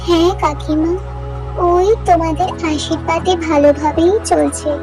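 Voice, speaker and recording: A woman's voice: very high voice, fairly even intonation, a little slow. A woman voicing a polite, studious young village schoolgirl in a cartoon, speaking respectfully. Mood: polite, bright. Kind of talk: cartoon voice acting. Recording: loud music under the voice.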